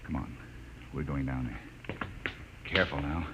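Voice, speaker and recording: An actor playing a gruff spaceship captain giving brisk orders in a 1950s radio drama. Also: male voice, extremely low pitch, steady tone, fairly bright tone. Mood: commanding, urgent. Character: gruff, commanding male spaceship captain leading a search party. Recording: noisy muffled 1950s radio recording.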